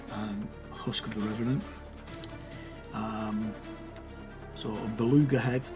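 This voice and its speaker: A man giving a casual, unscripted walkthrough of a game sourcebook, rambling and hesitant, often correcting himself as he goes. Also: mid-range voice. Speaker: reviewer presenting a tabletop game sourcebook.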